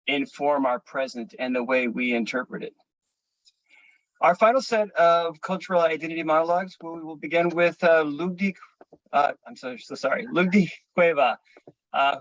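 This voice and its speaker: A man emceeing a showcase, talking casually and rambling with lots of fillers. His voice is a bit high, and the sound is dry and muffled. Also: fairly bright tone, dry recording. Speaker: host of a veterans performance showcase.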